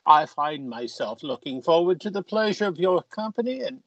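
A man acting a jovial, lecherous Victorian member of Parliament in a radio play, pompous and theatrical with flirtatious, drawn-out phrasing. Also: fairly high pitch, lively intonation, fairly bright tone, no room sound, muffled recording.

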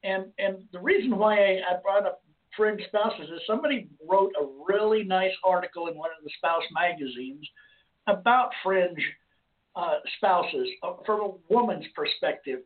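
A hoarse man hosting a call-in radio show, talking casually and rambling with restarts. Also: high-pitched, lively intonation, moderate pace. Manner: rambling, with many restarts and fillers. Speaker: host. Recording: phone-like lo-fi line.

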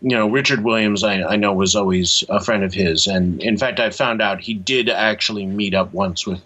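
A middle-aged man speaking as an interview guest, explaining things in a measured, slightly hesitant conversational way. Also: fairly low pitch, sharp tone. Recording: dull, lo-fi sound.

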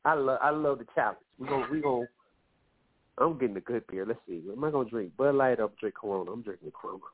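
A man's voice: medium pitch, fast. A man hosting a call-in radio show, giving loose, profane relationship advice, a little nasal and wheezy from allergies. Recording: phone-like lo-fi line.

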